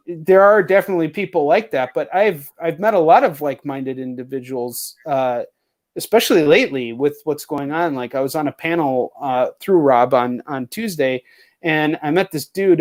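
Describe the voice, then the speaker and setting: Man, talk show host, fairly high voice, casual chat, earnest, occasional swearing. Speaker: talk show host, comic book creator.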